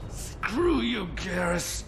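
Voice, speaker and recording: Sleazy, wildly expressive crook. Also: male voice, high-pitched, very slow, gravelly, loud music behind the voice, exaggerated voice. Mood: panicked, angry. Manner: smug, wheedling, sneering. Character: sleazy, cornered male criminal fixer, cocky and evasive. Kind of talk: dramatic video game acting.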